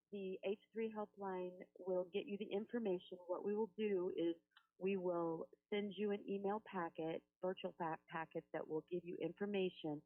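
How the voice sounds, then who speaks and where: A woman working as a helpline phone coach, talking a caller through in a soothing, reassuring way and praying with her. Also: medium pitch. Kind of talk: counseling phone call and spoken prayer. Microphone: telephone. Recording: tinny phone-line recording.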